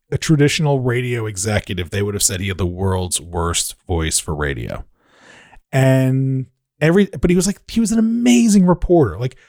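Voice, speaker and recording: A man with a deep voice chatting casually on a podcast, reflective and self-deprecating. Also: a little mellow, dry recording, studio quality. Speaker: podcast host.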